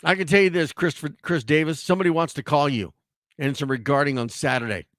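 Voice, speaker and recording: A hoarse man hosting a sports talk show, ranting in a casual, animated way with frequent restarts. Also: on the high side, fairly quick, dry recording, clean studio sound.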